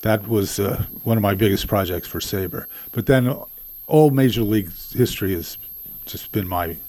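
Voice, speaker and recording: An older man guesting on a radio show as a baseball historian, answering in a measured way with frequent ums. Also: fairly low pitch, mellow, no room sound. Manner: measured, many ums and uhs.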